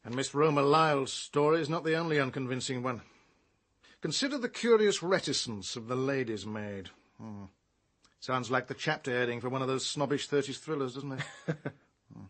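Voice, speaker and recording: An actor playing a shrewd, wry, middle-aged British police detective in a radio drama, with an urbane, wordy manner. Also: male voice, mid-range voice, moderate pace, a bit bright, close to an everyday voice. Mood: wry, probing.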